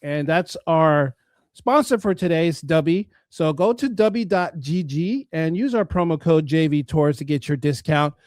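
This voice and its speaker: A man's voice: fairly high pitch, lively intonation, a little slow, a little mellow, no echo. Earnest male interview host, dry close sound. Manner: earnest, restarts mid-sentence. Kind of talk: interview and sponsor talk.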